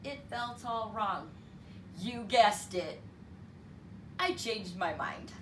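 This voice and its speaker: Slow, animated woman reading aloud, roomy sound. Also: mid-range voice. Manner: animated, deliberate, teacherly delivery for children. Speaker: children's story reader and math teacher. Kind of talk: expressive read-aloud storytelling with counting lesson. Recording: roomy, distant mic with clear background noise.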